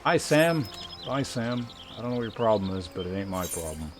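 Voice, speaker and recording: A man's voice: on the low side, very animated, normal speed, noisy background. A man giving casual, rambling commentary on a game livestream, dryly sarcastic and swearing freely. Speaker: game streamer. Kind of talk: casual livestream gameplay commentary, reading chat aloud. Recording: music behind the voice. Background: game music.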